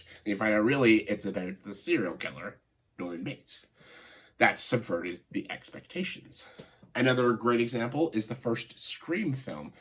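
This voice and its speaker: A man talks casually and with opinion, as if to viewers of his commentary video. His voice is on the low side and rough-edged, clogged and nasal from a cold. He moves haltingly through his points, stretching the words he wants to stress and peppering them with "like". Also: fairly bright tone. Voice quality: congested, nasal voice. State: congested, stuffed-up nose after a cold. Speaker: video host, film commentator.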